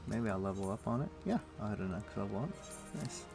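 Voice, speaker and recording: A man's voice: lowish voice, animated, fast, gravelly, over music. A man livestreaming a video game, casually thinking aloud in short muttered phrases as he plays, speaking into a desk microphone. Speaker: livestream host. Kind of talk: casual gaming commentary, talking to himself while playing.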